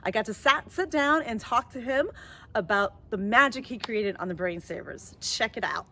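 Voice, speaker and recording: A woman interviewing on location as a correspondent, effusive and quick to interject. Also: on the high side, expressive, bright, forceful tone, a little hiss or hum.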